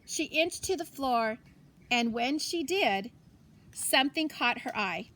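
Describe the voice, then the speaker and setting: A woman with a high voice reads a picture book to children. She goes slowly and deliberately, pausing for effect and shaping each phrase expressively, like a teacher at story time. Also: expressive, bright, forceful tone. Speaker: teacher reading a story aloud.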